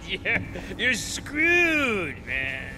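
An actor playing an erratic, mocking, foul-mouthed survivor in a zombie video game, with a gravelly voice. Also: male voice, very high-pitched, exaggerated voice. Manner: jeering, drawn-out, sweary. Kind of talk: video game dialogue acting. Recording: loud game music behind the voice.

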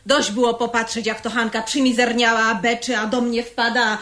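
A woman's voice: a little high, flat delivery, moderate pace, fairly bright tone, natural voice. An actress playing a garrulous, deferential working-class godmother in a radio drama, with folksy, shrewd talk. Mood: indignant, pleading. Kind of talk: 1970s radio drama acting. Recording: roomy 1970s radio studio recording.

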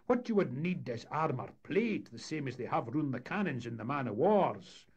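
A man voicing a gloomy sailor who speaks in a blunt, doom-laden way, raised above his own pitch. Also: sing-song, moderate pace, husky, lo-fi sound. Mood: grim.

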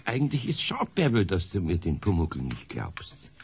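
An actor playing a good-natured older Bavarian master carpenter and uncle in naturalistic radio play dialogue. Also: male voice, low-pitched, huge pitch swings, moderate pace, slightly rough, exaggerated voice. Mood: wistful.

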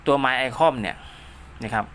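Male DIY tutorial host: bright mid-pitched voice, informal and repetitive, throws in spoken sound effects, slightly noisy recording. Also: a little fast. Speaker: host of a DIY radio repair channel. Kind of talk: informal hands-on tutorial, talking while drawing diagrams.

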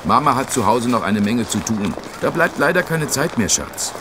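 An actor playing a short-tempered father who speaks gruffly and snappishly in an audio drama. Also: medium pitch, big pitch swings, moderate pace, natural voice. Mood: irritated. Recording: busy outdoor street noise.

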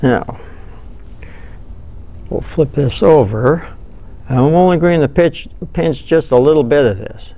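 An older man giving a relaxed, folksy fly-tying tutorial, thinking aloud as he works at a table in a studio. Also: on the high side, unhurried, vocal fry, pauses often. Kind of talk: hands-on tutorial. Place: studio with dark backdrop, seated at table.

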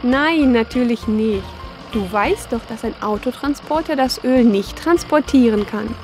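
Female narrator, higher-pitched, for a preschool educational cartoon; instructive question-and-answer delivery over clear background music. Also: measured pace, a little mellow. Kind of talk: scripted narration for young children.

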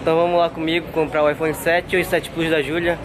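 A man's voice: fairly high pitch, level tone, fast, low-quality recording. A young man from Brazil, chatting upbeat to viewers while filming himself at arm's length in a busy store. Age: young adult. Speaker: travel vlogger. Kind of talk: casual vlog narration to camera. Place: busy electronics store and street outdoors. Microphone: handheld camera at arm's length. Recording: handheld camera in a crowded store. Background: loud crowd and music.

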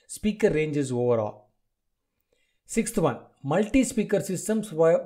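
A man lecturing from a prepared text, reading deliberately point by point in short phrases. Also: on the high side, warm tone. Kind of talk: scripted lecture read from slides.

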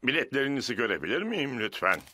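An actor playing a male rabbit stationmaster and ticket inspector in a cartoon, with drawn-out official calls. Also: medium pitch, sing-song, very noisy, natural voice. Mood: officious.

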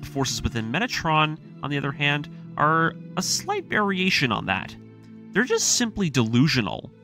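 A man narrating a story breakdown with commentary, in an earnest, emphatic, scripted storytelling manner. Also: on the high side. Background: background music.

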